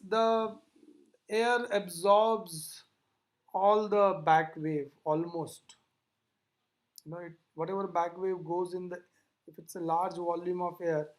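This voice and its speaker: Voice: mid-range voice, darkish tone. A lecturer explaining material in a classroom style, didactically repeating and rephrasing key points. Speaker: physics lecturer.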